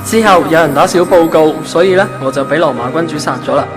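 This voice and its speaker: A man's voice: a little high, fast talker, close to an everyday voice. A man playing a kindly, easygoing ancient saint of love in a Hong Kong Cantonese radio drama. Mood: breezy, reassuring. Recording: background music under the voice.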